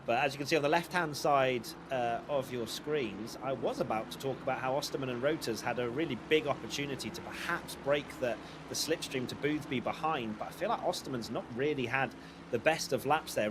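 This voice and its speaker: Male race commentator, brisk, upbeat, noisy background, medium pitch. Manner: brisk, upbeat, laughs easily. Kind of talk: live esports race commentary.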